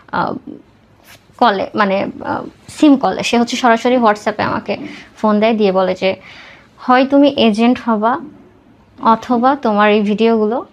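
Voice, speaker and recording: A Bangladeshi woman with a somewhat high voice speaks as a guest on a podcast. She recounts her personal story in interview style, with English words mixed through her speech. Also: poor audio.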